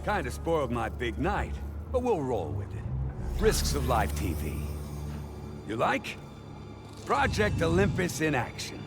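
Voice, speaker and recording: A menacing game crime boss, showy and grandiose. His gravelly voice swings widely in pitch as he draws out each taunt. Music plays behind him. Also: huge pitch swings, a little slow, long pauses, exaggerated voice. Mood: smug. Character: theatrical mob boss villain, grandiose and menacing. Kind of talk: video game villain acting.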